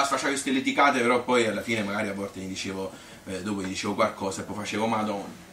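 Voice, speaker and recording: A man's voice: on the low side, far from the mic, lightly acted. Old Tuscan grandma played by a man, feisty, fond, rambling stage storyteller; roomy, noisy recording. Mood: feisty, amused. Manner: rambling, colloquial, repetitive, with little interjections. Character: old Tuscan grandmother reminiscing fondly, chatty, feisty, devoted wife. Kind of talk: spontaneous-sounding theatrical monologue.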